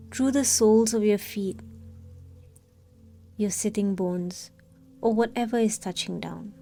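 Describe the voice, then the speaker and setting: A woman leading a scripted guided meditation in a calm, soothing, unhurried manner with long pauses. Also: mid-range voice, gravelly.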